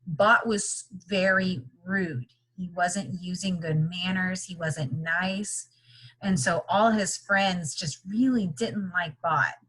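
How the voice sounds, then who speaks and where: A woman's voice: lowish voice, bright, forceful tone, slightly rough, poor audio. A woman leading an interactive read-aloud lesson for young children, explaining simply and checking in with repeated questions. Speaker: teacher reading a picture book aloud.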